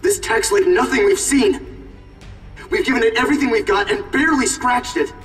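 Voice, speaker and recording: A man's voice: very high voice, normal speed, fairly bright tone. An earnest, commanding hero gives terse military reports in a raised male voice. He sounds roomy and slightly distant, as in a game cutscene. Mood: steady urgency. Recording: echoey, distant comm call, faint music.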